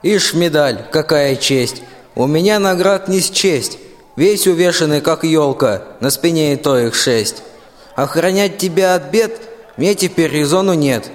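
A bumbling, disgruntled general played by a young man reports in radio-play verse. The voice is on the high side and rings in a long hall. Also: measured pace, natural voice. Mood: sarcastic. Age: young adult. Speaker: school student actor.

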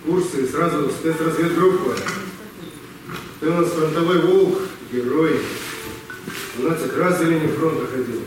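A man acting a gruff, wry army colonel in an amateur radio play, speaking in clipped, commanding, teasing phrases. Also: fairly high pitch, measured pace, long pauses, lightly acted. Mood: dry, commanding. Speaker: amateur actor. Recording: echoey room, distant mic, background hiss.

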